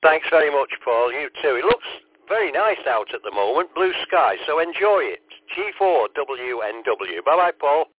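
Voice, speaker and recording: A man's voice: fairly high pitch, very animated, moderate pace, slightly rough. Older man on tinny radio. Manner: friendly, chatty. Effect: two-way radio. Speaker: amateur radio operator. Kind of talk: casual radio contact chat with call signs. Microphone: radio transceiver microphone. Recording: tinny, narrowband two-way radio.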